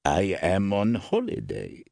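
A man voicing a courteous, ponderous German professor in an audiobook, with a rasping German accent and formal, deliberate phrasing. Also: sits low, wildly swooping pitch, darkish tone, no room sound, studio quality, full character voice. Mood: earnest, pondering. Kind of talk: audiobook character voice.

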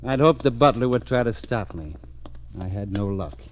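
A man's voice: on the low side, lively intonation, moderate pace, noisy background, natural voice. An actor playing a hardboiled police lieutenant who narrates his own case in a dry, clipped, sardonic way, in an old radio drama. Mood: hardboiled narration. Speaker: narrator and detective. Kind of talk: 1940s radio acting. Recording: muffled old radio recording.

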